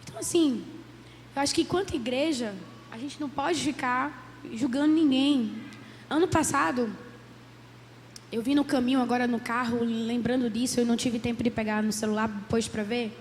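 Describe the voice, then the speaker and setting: Hoarse female psychologist, fairly high voice, earnest lecture in a reverberant hall with faint noise. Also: moderate pace. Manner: earnest, many "né?" tags. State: hoarse from a cold. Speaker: psychologist giving a church talk. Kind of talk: church lecture. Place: church hall.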